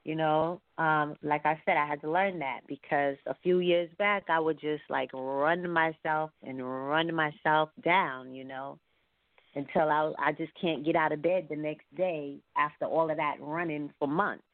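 A woman's voice: deep voice, steady tone, a little slow, dry recording. A woman talking casually as an interview guest over a call. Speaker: guest, singer and entrepreneur. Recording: thin phone-like call audio.

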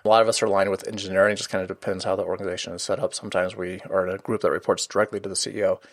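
This is a man with a fairly low voice who hosts an interview podcast. He speaks fast and articulately, steering the conversation with steady questions. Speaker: podcast host.

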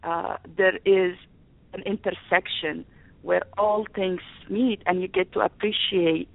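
A woman's voice: on the low side, fairly even intonation, a little slow, gravelly, no room sound. A woman from Sudan, a podcast guest speaking reflectively and restarting her sentences often. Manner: reflective, frequent restarts and fillers. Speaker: podcast guest, university instructor. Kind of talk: conversational interview. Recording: tinny phone-like line, dry.

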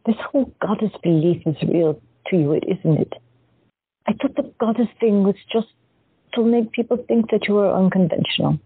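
A woman's voice: mid-range voice, moderate pace, husky, no echo. A woman playing a shy woman patient who has visions, speaking hesitantly and with wonder. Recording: dry, tinny phone-like audio.

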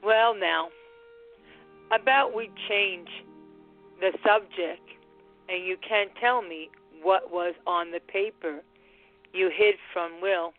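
Over a narrow, phone-like recording with faint music, a woman plays a middle-aged wife in a radio drama. She is patient and gently firm, speaking slowly. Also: on the low side. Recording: muffled phone-like audio.

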